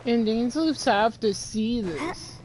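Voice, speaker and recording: A woman's voice: fairly high pitch, animated, takes it slowly, darkish tone, a little hiss or hum, rough recording. A teenage girl giving casual gameplay commentary, muttering offhand to herself. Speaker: game streamer.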